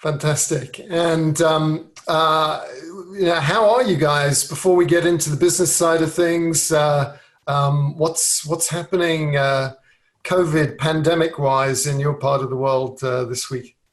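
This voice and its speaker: A man's voice: fairly high pitch, fairly even intonation, a little slow. A man hosting a tech podcast, welcoming guests and asking questions in an upbeat manner. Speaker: podcast host. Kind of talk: podcast interview.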